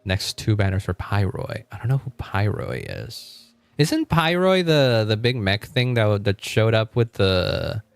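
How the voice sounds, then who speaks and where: A man's voice: lowish voice, big pitch swings, unhurried, clear, resonant tone, no room sound. A man live streaming in a casual, rambling way, thinking aloud and often chuckling as he chats with viewers. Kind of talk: casual gaming livestream chat.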